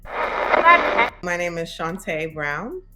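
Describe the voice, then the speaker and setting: A woman in her thirties from Brooklyn speaks thoughtfully in an interview, pausing often with fillers. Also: lowish voice, very animated, background noise. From Brooklyn, by way of Saint Vincent. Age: 30s. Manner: thoughtful, with many pauses and fillers. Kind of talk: reflective interview.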